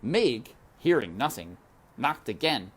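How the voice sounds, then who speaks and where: Woman narrator for children's read-aloud: very low voice, highly animated, unhurried. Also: husky. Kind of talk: expressive children's book narration with asides.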